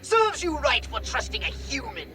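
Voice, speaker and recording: A woman's voice: high voice, big pitch swings, normal speed, sharp tone, faint music behind, full character voice. A woman voicing an alien invader's bossy, exasperated superior in a video game, dry and scolding. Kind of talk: video game cutscene acting.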